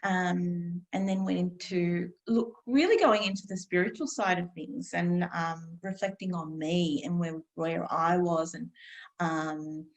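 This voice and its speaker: A woman in her fifties, a podcast guest, speaking calmly and unhurriedly with many pauses. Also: fairly low pitch. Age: 50s. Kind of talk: reflective conversational interview.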